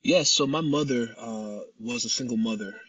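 A man from the United States, a startup founder answering interview questions in a measured, steady way with occasional pauses. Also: medium pitch, slightly rough, dry recording, recorded from a distance, dull audio.

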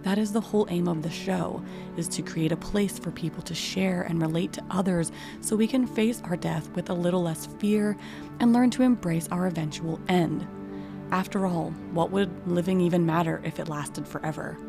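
A woman hosting a podcast interview, speaking in a calm, earnest and reflective way. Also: lowish voice, moderate pace, gravelly, over music. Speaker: podcast host.

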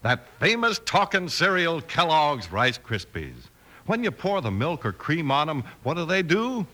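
A man's voice: on the high side, very animated, a little slow, bright, forceful tone. An American man reading a vintage radio commercial to children in a chummy, coaxing manner. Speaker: commercial announcer. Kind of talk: 1950s radio cereal commercial, addressing children.

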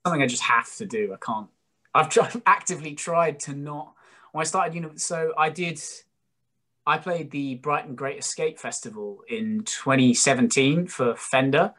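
A man's voice: a little high, normal speed, a bit bright. A British man in his early twenties, a musician being interviewed, chatting casually in a rambling, jokey, self-deprecating way. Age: early 20s. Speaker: guest, singer-songwriter musician.

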